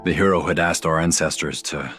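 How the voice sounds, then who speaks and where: An actor playing an earnest, reverent foreign keeper of an ancient monument in a video game. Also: male voice, rumbling low, fairly even intonation, a little fast, fairly bright tone, soft background music. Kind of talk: scripted game voice acting.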